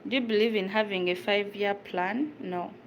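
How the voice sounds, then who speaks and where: A young woman with a Ugandan accent talks casually to her viewers, answering questions as she prepares food. Her voice sits at a middle pitch. The home recording carries clear background noise, with crinkling and clicks around her. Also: steady tone, moderate pace, muffled recording. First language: Luganda. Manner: chatty, answers viewer questions while cooking. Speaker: vlogger. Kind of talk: casual chat while cooking.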